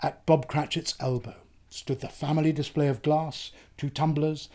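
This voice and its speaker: An older man in his sixties or above giving an expressive, theatrical reading of a literary story. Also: on the high side, normal speed. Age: 60s or older. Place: small book-lined room, indoors.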